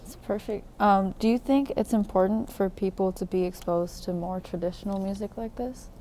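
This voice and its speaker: A woman's voice: medium pitch, moderate pace, warm tone, slight background noise. A woman interviewer asking casual questions in a slightly tentative way.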